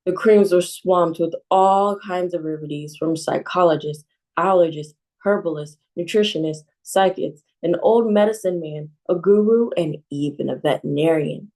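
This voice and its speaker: A woman librarian reads a children's picture book aloud, calm and expressive. Her voice sits low and sounds soft and mellow. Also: normal speed.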